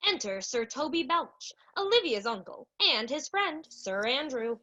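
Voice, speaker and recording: A woman's voice: high voice, big pitch swings, measured pace, sharp tone, muffled recording. A young woman narrating a radio play from a script, introducing scenes and characters. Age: young adult.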